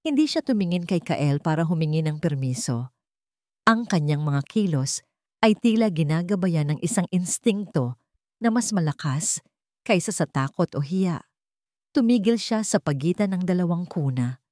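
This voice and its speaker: A woman narrating a sentimental love story in a measured, earnest, dramatic way. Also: on the low side, expressive, darkish tone, no echo, clean studio sound.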